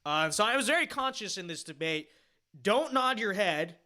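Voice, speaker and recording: High bright-voiced man ranting casually. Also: expressive, moderate pace. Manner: rambling and opinionated, heavy fillers and restarts; emphatic and dismissive. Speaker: streamer reacting to his own panel appearance. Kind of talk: livestream reaction commentary.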